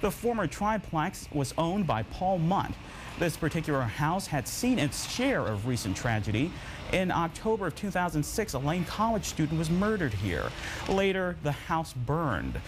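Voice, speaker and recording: A man's voice: on the high side, noisy background. A man with an American accent reading scripted news as an anchor.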